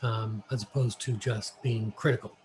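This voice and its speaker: American man, halting, over background noise. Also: fairly low pitch, steady tone, a little mellow. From New York, United States. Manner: halting, switches between English and Mandarin Chinese. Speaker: interview guest. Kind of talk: casual conversational storytelling.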